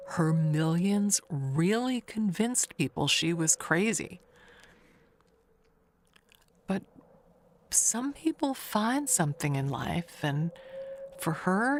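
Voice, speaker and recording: An actress performs an older woman neighbor telling a mystery tale in an audio drama. She speaks slowly in a lowish voice, confiding and rambling, with a wistful undertone. Noise runs clearly behind her. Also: exaggerated voice. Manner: confiding, rambling, self-correcting.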